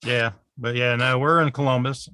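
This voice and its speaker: A man's voice: medium pitch, fairly even intonation, moderate pace, pushed and bright, clean tone, no echo, studio quality. Easygoing man in his forties. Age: 40s. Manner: easygoing, agreeable, chuckles often. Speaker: wrestling promoter. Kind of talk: casual podcast chat.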